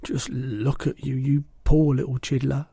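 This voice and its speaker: Children's storybook role: man playing a soft-hearted giant, earnest and innocent, husky and creaky, muddled grammar. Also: mid-range voice, little pitch movement, measured pace, no room sound, clean studio sound. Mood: shocked, caring. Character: gentle, kindly, innocent giant, earnest and protective.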